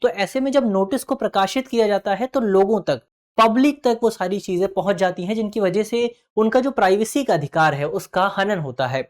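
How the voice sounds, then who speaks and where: A woman's voice: medium pitch, moderate pace. Methodical woman giving an explanatory lecture. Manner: methodical, restates points in simpler words. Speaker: educator presenting an explainer. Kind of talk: explanatory lecture on current affairs.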